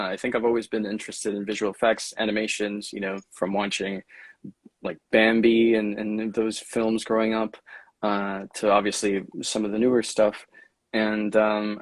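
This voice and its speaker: A young man from Venezuela talks in a relaxed, measured way, pausing on fillers as he tells his story. His voice sits low with a gravelly edge. The sound is close and dry. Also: dry recording. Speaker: guest artist. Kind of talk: casual livestream chat.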